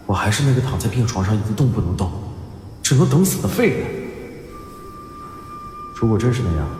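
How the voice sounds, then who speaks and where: A man's voice: mid-range voice, close to an everyday voice. A man voicing a bewildered young man, echoing inner thoughts. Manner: anxious inner monologue, questioning. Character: young man waking in a baby's body, shocked. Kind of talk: radio drama acting. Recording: roomy with long reverb, music.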